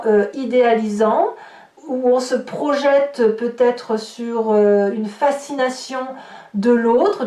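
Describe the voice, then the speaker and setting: A woman's voice: a little high, darkish tone. A woman giving a spoken tarot reading to viewers, explaining things by rephrasing and listing examples. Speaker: tarot reader. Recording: roomy echo, distant microphone.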